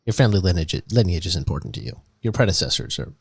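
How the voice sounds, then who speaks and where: Male comedy host, mock public-radio narrator, lowish voice, dry close sound, earnest and halting. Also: expressive, husky, no echo. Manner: earnest, halting, with many restarts.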